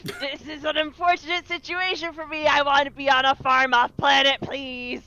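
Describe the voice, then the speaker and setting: Brief: female player, high bright voice, dull lo-fi mic, faint music. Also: flat delivery, normal speed, dry recording. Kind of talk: in-character play at a game table.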